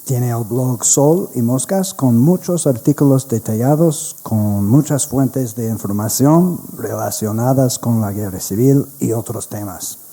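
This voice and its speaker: An American man, a native English speaker, reading a prepared introduction in Spanish at a history conference, slowly and carefully with some restarts. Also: medium pitch, mellow. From United States.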